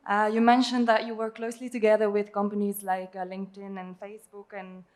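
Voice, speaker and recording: Hesitant woman with a mid-pitched voice. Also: little pitch movement, fairly quick, darkish tone. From Belgium. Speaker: audience questioner. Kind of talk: formal question to a panel.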